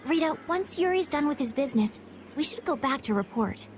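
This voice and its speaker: Game heroine: courteous young noblewoman, gentle, high-pitched, earnest. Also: expressive, a little fast, a little hiss or hum. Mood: polite, concerned. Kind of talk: video game voice acting.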